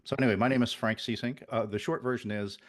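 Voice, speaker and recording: A man's voice: medium pitch, fairly even intonation, fast. A man in his fifties, originally from the Netherlands, talking casually as a podcast guest, rambling with many restarts and self-deprecating asides. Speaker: podcast guest, network engineer. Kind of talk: casual interview chat.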